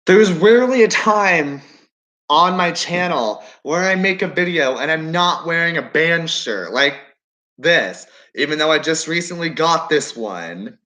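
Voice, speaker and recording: A man's voice: a little high, lively intonation, a little slow, pushed and bright. A nineteen-year-old man rambling through a casual reaction vlog at home, musing and questioning himself as he talks. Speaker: vlogger.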